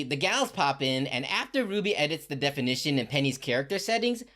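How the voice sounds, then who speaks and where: A woman's voice: sits low, fairly bright tone. A woman delivering a casual, sarcastic comedic recap narration, full of slang and swearing.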